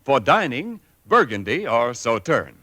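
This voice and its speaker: A man's voice: mid-range voice, big pitch swings, measured pace, sharp tone, no room sound. A man with an American accent reading a polished 1940s radio commercial as announcer. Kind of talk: 1940s radio advertising read.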